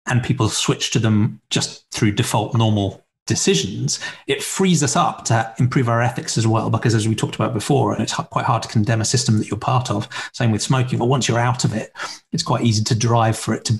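A man's voice: mid-range voice, fairly quick, gravelly. A man in his forties hosting a podcast interview over a video call from a room at home, earnest and encouraging. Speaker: podcast host. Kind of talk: interview conversation. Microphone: webcam on video call.

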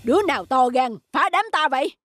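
A woman voicing a spiteful, gloating male wolf with a menacing, taunting manner in an animated fairy tale. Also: high-pitched, a little fast, background noise.

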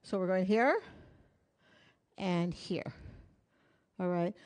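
A woman's voice: lowish voice, takes it slowly, darkish tone. A middle-aged woman teaching hula in a small indoor room, counting beats and half-singing lyrics in a chatty, playful way while she dances. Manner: chatty and playful, jokes and asides. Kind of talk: dance instruction while dancing. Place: small indoor studio room with curtains and wooden floor.

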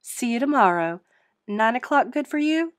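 A woman reader puts on an exasperated storybook innkeeper, a middle-aged woman with a lifted pitch. She is brisk, emphatic and scolding, firm even when flustered. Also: no room sound, studio quality, natural voice. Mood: brisk, polite.

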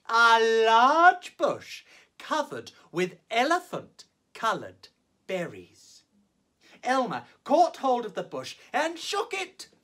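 A man performing as a drag storyteller, reading a children's picture book theatrically with exaggerated emphasis and drawn-out words. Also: very high-pitched, sharp tone. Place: small indoor set, on camera.

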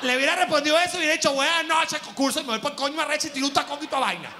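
A man's voice: extremely high pitch, rapid-fire, music in the background. A man, doing rambling stand-up comedy on a theater stage with a handheld mic, jumping into exaggerated put-on quotes. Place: large theater stage with live audience.

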